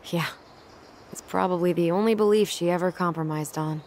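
A woman's voice: fairly low pitch, brisk, darkish tone, slight background noise, natural voice. An actress playing a dry, guarded, teasing young American woman in naturalistic video game dialogue. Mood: reminiscing. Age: young adult.

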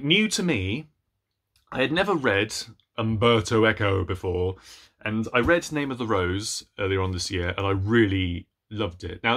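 A man in his thirties chatting casually to camera as a book vlogger, playful, rambling and animated, with self-deprecating asides. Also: on the low side. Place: small room at home with bookshelves.

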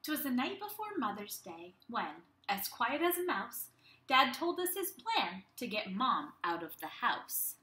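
A young woman teacher reading a storybook aloud to young children in an animated, expressive way, speaking to them directly. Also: a little high, unhurried, slight background noise. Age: young adult. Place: small room at home. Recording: roomy, echoey home webcam sound.

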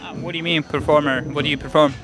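A man's voice: a little high, steady tone, very fast, music in the background. A young man hosting a street interview outdoors, asking short friendly questions into a handheld mic, teasing at times, with non-native phrasing. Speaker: street interviewer, channel host. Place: outdoors on a busy seaside street.

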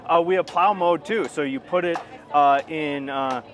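Higher-pitched man explaining a product. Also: normal speed. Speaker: vehicle company representative. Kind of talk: informal product walkthrough interview. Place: outdoors at a busy expo ground. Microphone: wireless clip-on mic.

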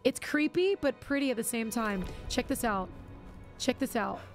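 Casting: young woman streamer, a touch high, gravelly, chatty with viewers, faint music behind. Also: moderate pace. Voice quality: scratchy. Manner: chatty, stammering restarts, reacting aloud. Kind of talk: casual live-stream chat while gaming.

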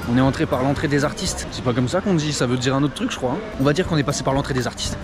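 A man's voice: mid-range voice, rapid-fire. A man, chatting casually and expressively to camera in slangy vlog style. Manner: slangy, expressive, animated storytelling. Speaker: vlogger. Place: large glass-roofed indoor park with palms. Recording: music behind the voice. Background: loud background music.

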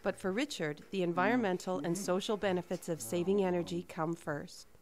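A Canadian woman in her thirties presenting a home energy television segment, with clear, measured scripted narration. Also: on the low side. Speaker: TV host.

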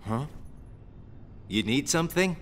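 Slow-drawling, wry young man, echoing. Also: animated. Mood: guarded, probing. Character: wry, cool young man, a loyal friend. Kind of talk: dramatic game cutscene dialogue. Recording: long reverb, faint rumbling noise.

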